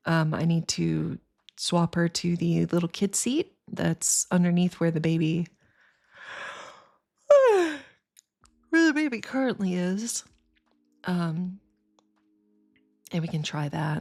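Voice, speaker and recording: A woman's voice: fairly low pitch, vocal fry, a little mellow. A tired woman in her thirties, sniffly and congested, chatting casually with her livestream viewers in a rambling way. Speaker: streamer.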